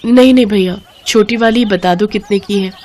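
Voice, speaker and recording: Woman as poor maid, humble, gentle mother, meek, deferential, middle pitch, storybook character. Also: normal speed, lightly acted.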